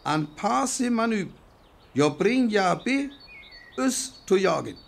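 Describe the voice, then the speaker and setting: A man's voice: sits high, big pitch swings, slow, vocal fry, exaggerated voice. An actor with a North Frisian accent playing a bitter, agitated survivor in a radio play, rambling intensely about his schemes. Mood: grim, insistent.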